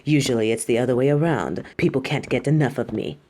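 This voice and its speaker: A woman's voice: rumbling low, fast talker, warm tone, a little hiss or hum. A woman playing a vain, imperious superhero costume designer in a theatrical audio roleplay, haughty, dramatic and doting toward the listener. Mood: smug, proud.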